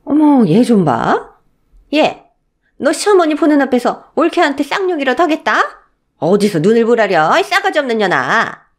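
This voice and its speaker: A woman's voice: high-pitched, sing-song, normal speed, voice pushed up. A woman voicing a spiteful older mother-in-law who scolds and sneers in a radio story drama. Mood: outraged. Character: spiteful, abusive older mother-in-law berating her daughter-in-law.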